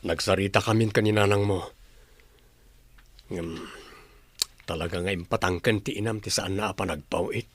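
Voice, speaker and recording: A man playing a gentle, struggling father in a radio drama, hesitant and placating. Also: low voice, fairly even intonation, moderate pace, long pauses, slightly rough, no room sound. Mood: weary, gentle. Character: struggling, gentle, resigned father of a young child. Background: music.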